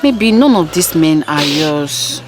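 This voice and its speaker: A woman's voice: low voice, lively intonation, takes it slowly, over music. An actress with a Nigerian accent playing a patient, consoling woman in a film drama, soothing and pleading. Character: patient, consoling woman, firm defender of others.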